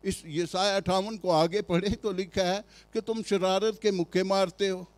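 A male pastor with a Pakistani Urdu accent, preaching a sermon with emphatic rhetorical questions and frequent hesitant pauses. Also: sits high, fairly even intonation.

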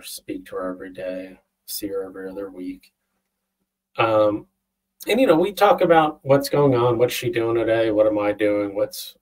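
Low husky man on a video call. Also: darkish tone. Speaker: interview guest, company founder. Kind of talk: conversational explaining. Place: indoor room on a video call. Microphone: wireless earbuds. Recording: slightly distant video-call sound.

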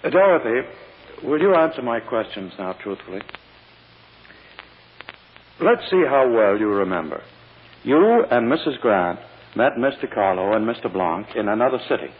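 An actor playing a falsely sweet, needling prosecutor questioning a child witness in a vintage radio drama, turning impatient when contradicted. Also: male voice, medium pitch, sing-song, moderate pace, long pauses, close to an everyday voice. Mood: coaxing, patronizing. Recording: muffled old radio recording, long reverb.

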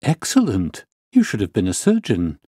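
A man voicing a courteous, concerned doctor with a brisk, firm manner in an audiobook. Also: on the low side, wildly swooping pitch, mellow, husky, no room sound, clean studio sound, exaggerated voice. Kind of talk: audiobook character voice.

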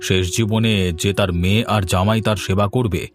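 A man's voice: fairly low pitch, flat delivery, brisk, natural voice. A man voicing a complaining young son-in-law in a cartoon, grumbling and resigned.

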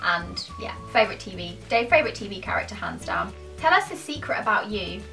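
A woman's voice: medium pitch, big pitch swings, fairly quick, pushed and bright, distant mic, soft background music. A British woman in her twenties chatting casually to camera in an informal, self-deprecating, rambling way. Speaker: YouTuber answering questions to camera. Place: small furnished room at home, indoors.

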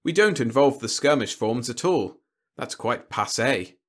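A man's voice: mid-range voice, expressive, unhurried. Man playing a cool, formal human diplomat. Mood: matter-of-fact. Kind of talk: audiobook character dialogue.

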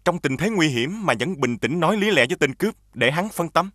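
A man voicing a genial, dignified government official with a hearty, formal manner in an animated fairy tale. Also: on the high side, a little fast, no echo, clean studio sound.

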